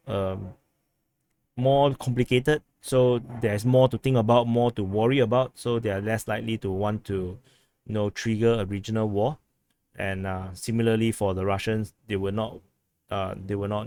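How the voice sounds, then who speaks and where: A man hosting a vlog, giving casual commentary in a halting, rambling way full of restarts and fillers, his voice hoarse as he is losing it. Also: lowish voice, darkish tone, no room sound. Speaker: vlog host giving news updates.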